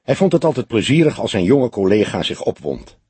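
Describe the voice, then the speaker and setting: A male audiobook narrator reads crime fiction expressively. His voice is mid-pitched and very husky, with animated intonation. He tells it as scripted narration. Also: brisk, no room sound.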